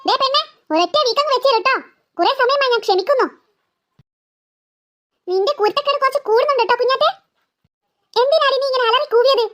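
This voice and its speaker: A woman acting a scolding, high-pitched cartoon mother. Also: fairly quick, long pauses, a bit bright. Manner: scolding, sarcastic, impatient. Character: exasperated, scolding, sarcastic mother of two girls. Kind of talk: comic family skit acting.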